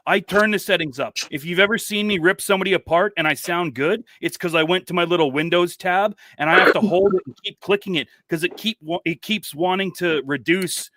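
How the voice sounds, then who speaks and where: A man's voice: high-pitched, brisk, hardly any pauses, fairly bright tone. A man on a casual online group chat who rants in crude, profane, mock outrage.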